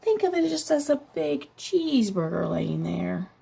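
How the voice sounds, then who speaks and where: A woman, a preschool teacher, reading a picture book aloud to children, talking gently and asking them questions, with a gravelly, husky voice. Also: high voice, very animated, a little slow.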